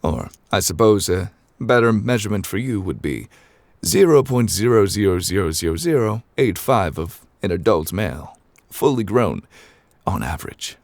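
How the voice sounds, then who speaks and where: A man's voice: sing-song, normal speed, no echo. A man voicing a courteous, absent-minded ancient god in human form for an ASMR roleplay, speaking formally and wordily with polite apologies. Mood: patient, flustered. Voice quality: very low, creaky. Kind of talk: ASMR character roleplay, improvised.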